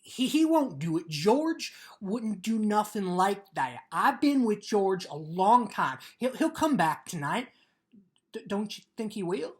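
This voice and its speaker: A woman playing a simple, trusting male American ranch laborer in a dramatic reading, speaking in earnest, halting rural vernacular. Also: mid-range voice, very animated. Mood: anxious, stubborn. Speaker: actor.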